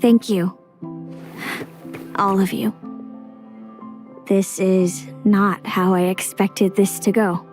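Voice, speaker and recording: A woman's voice: mid-range voice, flat delivery, slow pace, long pauses, a little mellow, faint music behind, natural voice. A woman playing a proud, fatalistic young seer and rival sister in an anime game, speaking with formal, dramatic diction. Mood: grateful.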